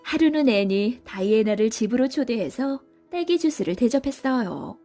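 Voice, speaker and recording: A woman's voice: fairly high pitch, animated, moderate pace, warm tone, faint music behind. A woman reading scripted narration for a children's story as a storybook narrator.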